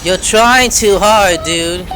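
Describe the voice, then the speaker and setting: A man's voice: high voice, slow, pushed and bright, slight background noise, rough recording. A man hosting a gaming video with casual, slangy commentary, reacting aloud and talking to the game as he plays.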